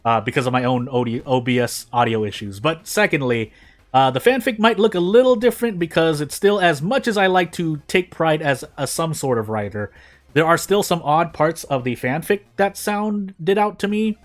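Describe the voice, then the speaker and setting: A man's voice: on the high side, animated, moderate pace. A man doing casual gaming video narration and commentary in a rambling, self-deprecating way. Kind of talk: casual gameplay commentary and fanfic reading.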